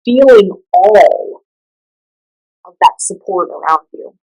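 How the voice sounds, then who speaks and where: A woman leading a guided meditation, giving gentle instructions in a soothing, unhurried and conversational way. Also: mid-range voice, expressive, poor audio.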